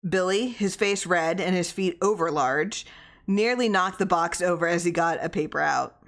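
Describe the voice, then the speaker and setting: A woman's voice: on the low side, moderate pace, fairly bright tone. A woman narrating a short story from a script as a reader, with character dialogue set among the prose.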